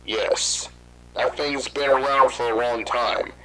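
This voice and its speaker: Man voicing a composed official interviewer, formal and measured, bright clear tone, horror audio drama. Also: mid-range voice, steady tone, low-quality recording. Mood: matter-of-fact.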